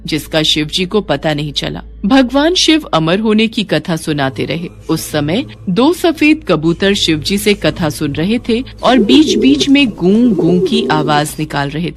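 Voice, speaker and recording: Lively female storyteller. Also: on the low side, unhurried, few pauses. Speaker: story narrator. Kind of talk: scripted devotional storytelling narration. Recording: faint music bed.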